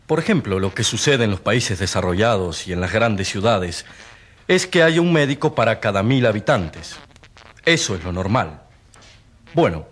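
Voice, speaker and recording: A man playing an earnest, indignant rural doctor giving testimony in a radio drama, grave and didactic. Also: medium pitch. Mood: matter-of-fact, emphatic. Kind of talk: Spanish-language radio drama acting.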